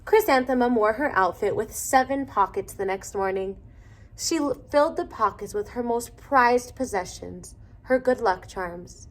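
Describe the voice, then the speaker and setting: A woman's voice: on the high side, measured pace. Woman reading a children's story aloud. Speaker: storybook reader.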